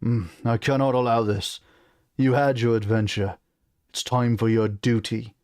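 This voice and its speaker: A man voicing a stern, exasperated king and father in a storybook, slow and measured. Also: mid-range voice, no room sound, clean studio sound, natural voice. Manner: slow, measured, dangerously calm.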